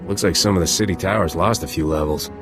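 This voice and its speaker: An actor playing a cocky, tough starship smuggler captain in a video game, with a dry, brash, laconic delivery. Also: male voice, low-pitched, fast, over music.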